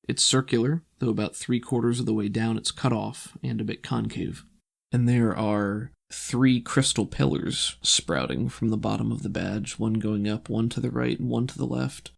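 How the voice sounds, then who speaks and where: A man narrating a tabletop game as game master, in a measured, descriptive storytelling manner. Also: lowish voice, vocal fry.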